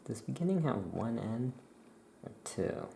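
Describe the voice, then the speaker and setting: A soft-spoken ASMR host murmuring thoughts aloud in halting short phrases, close to the microphone, while writing. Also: medium pitch, animated, vocal fry, slight background noise. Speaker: ASMR host playing a witch shopkeeper.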